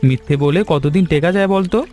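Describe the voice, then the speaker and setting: A man playing a poor, honest, kind young villager in an animated children's story, gentle and conciliatory in manner. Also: on the high side, brisk, soft background music, lightly acted. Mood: calm, resigned.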